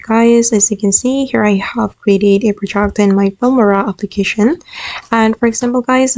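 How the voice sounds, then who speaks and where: A woman hosting a software tutorial, explaining each step as she narrates. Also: medium pitch, dry recording. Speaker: tutorial host.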